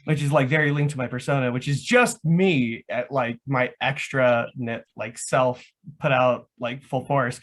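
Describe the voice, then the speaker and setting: A man with a mid-range voice speaks as a guest in a casual, unscripted chat. He is enthusiastic about his hobby and rambles, dropping "like" into many of his sentences. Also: medium pitch. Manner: enthusiastic, rambling, frequent "like" as filler. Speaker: guest, LARP foam crafter.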